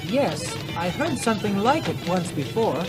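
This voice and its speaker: A man's voice: high voice, very animated, moderate pace, over music, rough recording. A man playing an inquisitive Greek visitor on a road trip in a scripted English-learning radio drama. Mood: curious. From Greece. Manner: inquisitive, simply worded.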